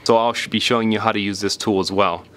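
A man's voice: on the low side, steady tone, fast, fairly bright tone, smooth. A man hosting a do-it-yourself tutorial, explaining each step in a plain, informal way as he demonstrates. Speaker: repair tutorial host. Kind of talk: casual step-by-step hands-on demonstration.

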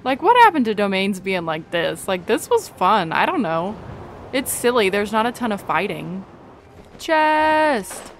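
A woman's voice: mid-range voice, very animated, a little slow, a little hiss or hum. A young woman giving casual, informal playthrough commentary, chattily thinking aloud as she plays. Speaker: gaming video creator. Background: game music.

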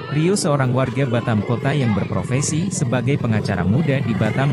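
A man narrating a local news report in a calm, formal reading voice, with an Indonesian accent. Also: mid-range voice, heavy background noise. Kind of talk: scripted news voice-over.

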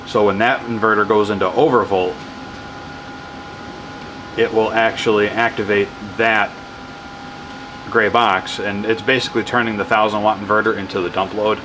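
A man casually explaining his wind turbine setup in a workshop, rambling and correcting himself with excitement. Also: fairly low pitch, normal speed, pauses often. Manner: enthusiastic, rambling, self-correcting. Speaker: hobbyist wind power vlogger. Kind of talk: casual off-the-cuff demo narration.

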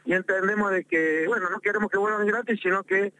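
A man's voice: high-pitched, steady tone, brisk, pushed and bright. A man with an Argentine accent, a mayor calling in to a talk show, making an insistent, aggrieved complaint and repeating points for emphasis, heard over a phone line. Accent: Argentine Spanish. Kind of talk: phone interview.

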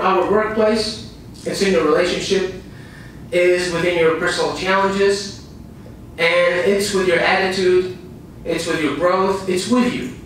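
Man in his 30s from Iraq, high voice, earnest motivational speaker, distant camera in an echoey seminar room. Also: a bit bright, a little hiss or hum. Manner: earnest, repeats lines for emphasis, speaks with pauses. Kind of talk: live seminar talk. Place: dim small room with a projector screen and a small audience. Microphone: far camera, no visible mic. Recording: echoey room recorded from far off.

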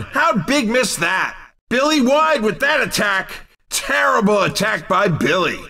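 An actor playing an excitable, teasing race commentator in a video game, delivering punchy, mocking exclamations. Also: male voice, very high voice, heavily acted. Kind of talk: arcade racing game commentary.

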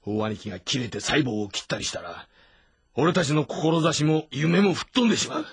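Casting: blunt, brooding loyal warrior, very husky with swinging inflection, for a historical game drama. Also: male voice, a little high, very animated, moderate pace, no room sound, exaggerated voice. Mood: resolute.

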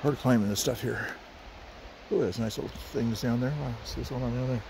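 A man's voice: mid-range voice, steady tone, a little fast, gravelly, noisy background. A middle-aged man with a sniffly voice, chatting easygoing to his phone camera as he hikes a forest trail. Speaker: hiker vlogger. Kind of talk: casual rambling hike narration.

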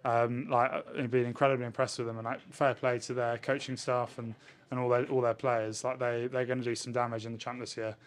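A man, a rugby player answering questions in a post-match interview in a measured way. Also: mid-range voice, level tone. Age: 20s. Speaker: professional rugby player, winger. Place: indoors in front of a sponsor backdrop. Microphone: handheld interview microphone held at chest height.

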